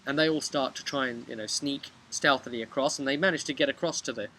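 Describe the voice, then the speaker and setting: A young man casually recapping a tabletop game as game master, halting at times and emphatic, recorded outdoors. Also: mid-range voice, pushed and bright, rough recording. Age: young adult. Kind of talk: casual vlog storytelling. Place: outdoors on a bench by a brick house. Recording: outdoor webcam with background noise.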